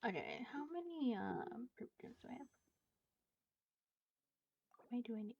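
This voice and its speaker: A woman's voice: on the high side, animated, normal speed, gravelly, darkish tone. A woman game streamer chatting casually and hesitantly, trailing off between thoughts. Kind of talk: casual livestream chat while gaming. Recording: dull lo-fi stream audio, music behind.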